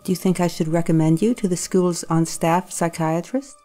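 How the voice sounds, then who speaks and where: A woman acting as a concerned, probing school staff member in an audio drama, with a measured, coaxing manner. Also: fairly low pitch, fairly even intonation, dark tone, soft background music, lightly acted. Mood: cautious, concerned.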